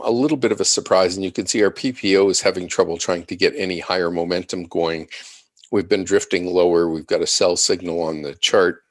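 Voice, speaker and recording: A man giving unscripted analyst commentary on charts, measured and explanatory, thinking aloud as he presents. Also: deep voice, no echo, clean studio sound. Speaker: financial market analyst presenting.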